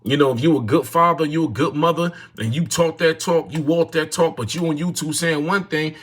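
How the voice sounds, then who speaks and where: Husky bright-voiced New man, preachy. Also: a little high, brisk. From North New Jersey, USA. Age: 40s. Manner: repetitive, preachy, greets chat viewers. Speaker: livestream host. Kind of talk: casual livestream monologue. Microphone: phone.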